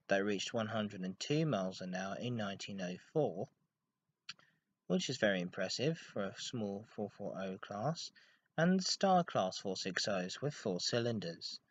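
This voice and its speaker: Man hosting, dull lo-fi recording. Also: lowish voice. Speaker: podcast host. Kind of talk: informal scripted narration on railway history.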